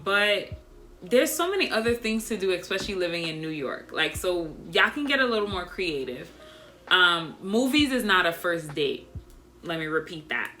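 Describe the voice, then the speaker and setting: Young female vlogger, soft unprojected delivery, lowish pitch with a crisp bright edge, chatty storyteller. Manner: soft, doesn't project, monotone by her own account; chatty and animated, addresses viewers as y'all. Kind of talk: casual storytelling to camera.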